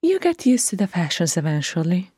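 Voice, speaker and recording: A woman voicing a calm, motherly elder dwarf sister who coaxes and soothes. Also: on the low side, a little fast, breathy edge, no room sound, studio quality, pitched above the performer's own voice. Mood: soothing.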